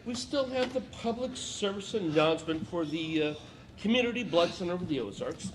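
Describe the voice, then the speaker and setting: A man's voice: high-pitched, moderate pace, noisy background. A man playing a patient herald announcer. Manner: hesitant, patient, lively announcing. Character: cheerful male herald announcer. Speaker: announcer in an audio play.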